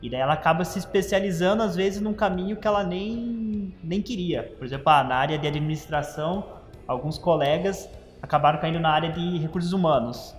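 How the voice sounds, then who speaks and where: A man with a higher voice hosts a career interview. He probes and sums up his guest's points. The room is echoey with a long reverb tail, and faint music plays underneath. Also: fairly quick. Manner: probing, summarizes the guest's points. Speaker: career coach host.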